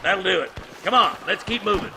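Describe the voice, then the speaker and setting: Actor as a strained, high-pitched lawman amid gunfire. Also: a little fast, close to an everyday voice. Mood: urgent, fierce. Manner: barking orders, forceful. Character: gruff, commanding Old West lawman in a gunfight. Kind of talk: video game combat dialogue. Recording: loud gunfire and animal noise. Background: gunshots.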